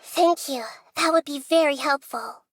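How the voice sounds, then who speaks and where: A wise goddess with a light, very high, childlike voice. She speaks gently and thoughtfully, never hurried. Soft game music runs underneath. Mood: serious. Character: calm, wise young-sounding female goddess. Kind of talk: video game voice acting.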